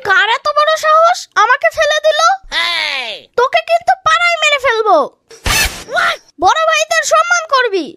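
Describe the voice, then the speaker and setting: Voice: extremely high pitch, lively intonation, normal speed, pauses often. A performer voicing a cocky, scrappy little boy in a comic cartoon, scolding and cheeky. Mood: indignant, bossy. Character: cocky, scrappy little boy who bosses grown-ups. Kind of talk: Bengali comic cartoon dialogue. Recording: loud background noise.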